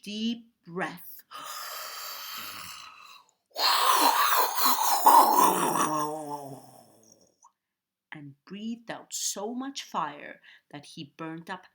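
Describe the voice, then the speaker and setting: Slow, animated woman reading a storybook. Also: fairly low pitch. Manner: dramatic emphasis, stretched key words. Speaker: storybook reader. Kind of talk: expressive read-aloud of a children's story.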